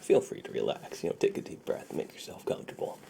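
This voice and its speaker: A man playing a friendly, secretive underground ASMR dealer in a soft, breathy, half-whispered roleplay voice. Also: background noise, lightly acted. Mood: hushed, reassuring. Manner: slow, hushed, conversational.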